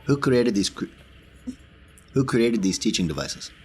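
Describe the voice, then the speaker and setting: A man playing a tabletop roleplaying game, talking through plans casually in a halting, deliberate way. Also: medium pitch, very animated, a little mellow, slightly rough.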